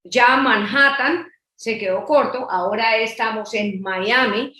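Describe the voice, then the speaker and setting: A woman from Colombia gives a promotional webinar talk, reeling off facts and figures. Her voice sits on the high side. The room around her is reverberant. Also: slow, sharp tone. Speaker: real estate presenter. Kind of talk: webinar presentation.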